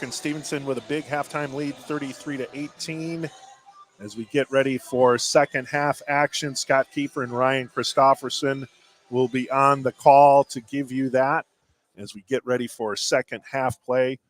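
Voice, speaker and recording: A man's voice: medium pitch, fairly even intonation, moderate pace, fairly bright tone. A man hosting a live sports broadcast with halftime commentary.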